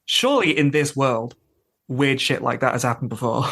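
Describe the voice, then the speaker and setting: A man's voice: medium pitch, normal speed, fairly bright tone. A man chatting casually and wryly as a co-host on a podcast. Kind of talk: casual chat about a TV show.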